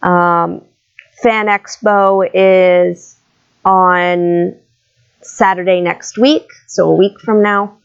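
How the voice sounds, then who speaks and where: A woman's voice: lowish voice, steady tone, very slow, creaky, clear, resonant tone, dull audio. A young woman giving a casual, informal update to her viewers in a hesitant, apologetic way. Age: young adult. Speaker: video creator and comic dubber.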